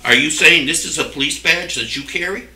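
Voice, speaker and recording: An older man argues his case at a board meeting in a very sharp, forceful voice. He is defensive and insistent, and his words come from some distance in an echoey room. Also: on the high side, fairly quick. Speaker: town select board member. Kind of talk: argumentative speech at a public meeting. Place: wood-panelled municipal meeting room.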